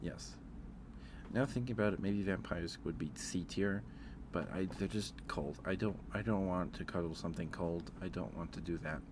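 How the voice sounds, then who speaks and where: A man's voice: deep voice, moderate pace, noisy background. A man streaming casual ASMR chat, thinking aloud in a hesitant, informal way.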